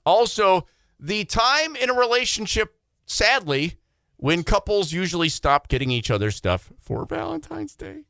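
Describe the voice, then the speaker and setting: A man's voice: sits high, wildly swooping pitch, a little slow, vocal fry, fairly bright tone, no echo. A man hosting a morning radio show with upbeat, playful banter into a close studio microphone. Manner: playful, joking.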